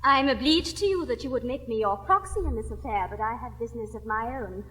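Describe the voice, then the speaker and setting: An actress playing a brisk, teasing, worldly married gentlewoman in a period comedy, with an English accent and an arch manner. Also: a little high, big-room sound, natural voice, low-quality recording. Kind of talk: classic stage comedy acting.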